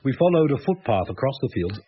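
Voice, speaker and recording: Affable, animated English husband played by a man. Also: mid-range voice, quick pace, lightly acted. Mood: pleased reminiscing. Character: affable, modest middle-class English husband proud of his cottage. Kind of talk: radio drama acting. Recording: dull lo-fi radio recording, faint noise.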